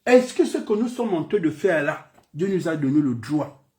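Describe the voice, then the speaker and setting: A man's voice: a little high, very animated, normal speed, darkish tone. A man from Gabon with a Gabonese French accent, giving an impassioned political speech full of repeated phrases and rhetorical questions. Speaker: political commentator.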